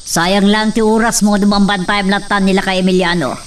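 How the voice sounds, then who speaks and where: A woman's voice: mid-range voice, steady tone, a little fast, far from the mic, a little hiss or hum, lightly acted. A woman playing a stern, scheming wealthy mistress in a radio drama, with a commanding, scornful manner. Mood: scornful, vengeful. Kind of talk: Ilocano radio drama acting.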